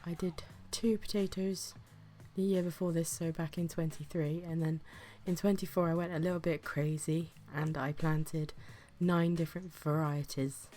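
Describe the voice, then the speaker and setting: A woman, sick with the flu and audibly breathy, chatting casually and self-deprecatingly as she narrates a gardening vlog. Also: deep voice, fairly even intonation, normal speed, warm tone, soft background music. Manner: chatty, self-deprecating, with occasional whispered asides. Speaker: gardening vlogger.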